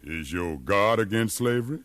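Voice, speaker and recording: An actor in a 1950s radio drama playing a determined, educated freed slave who leads rebels with fervent, persuasive speech. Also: male voice, lowish voice, lively intonation, normal speed, a bit bright. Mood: solemn, intense. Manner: fervent, persuasive, preaching cadence.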